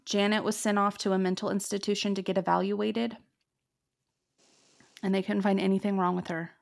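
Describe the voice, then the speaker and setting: A woman with a fairly deep voice and some vocal fry narrates a true ghost story for podcast listeners. She talks casually, padding her sentences with "like" and "um" as she goes. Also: flat delivery, a little fast. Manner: chatty, lots of "like" and "um". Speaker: podcast host telling the story.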